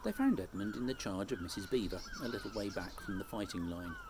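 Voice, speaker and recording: A man reading a children's story aloud like a teacher, in an expressive storytelling style. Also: fairly low pitch, fairly quick, noisy background.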